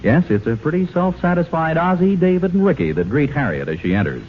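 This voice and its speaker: A man's voice: fairly high pitch, sing-song, quick pace, a little hiss or hum. A man playing a wry, mock-grand narrator in a 1940s radio comedy. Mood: mock-solemn. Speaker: announcer, narrator. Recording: muffled old radio recording. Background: loud music.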